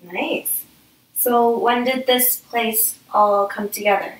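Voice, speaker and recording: Slow-paced woman hosting, distant and roomy. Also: fairly high pitch, fairly even intonation. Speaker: talk show host. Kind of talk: casual interview in a homemade talk show.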